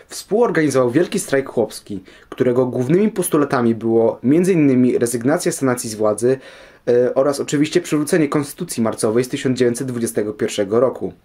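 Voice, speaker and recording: A young man with a husky voice giving scripted factual talk as a guest. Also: mid-range voice, mellow. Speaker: programme guest.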